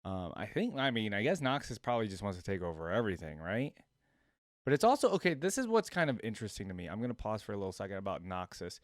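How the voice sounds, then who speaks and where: A man with a fairly low voice gives relaxed reaction commentary. He thinks out loud and often repeats what he has just heard. The sound is close and dry. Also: fast talker, no room sound. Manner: thinks aloud, repeating lines back. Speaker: reaction video host.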